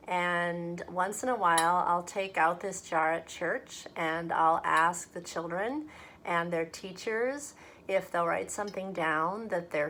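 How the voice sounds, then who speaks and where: A woman from a church talking to children and reading a storybook aloud in a deliberate, expressive way. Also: lowish voice, a little hiss or hum. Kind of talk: children's read-aloud and friendly talk to kids.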